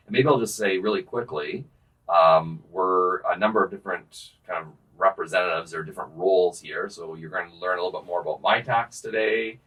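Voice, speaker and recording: A man hosting a casual podcast discussion with research assistants, relaxed and joking, trailing off at the ends of phrases. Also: low-pitched, poor audio.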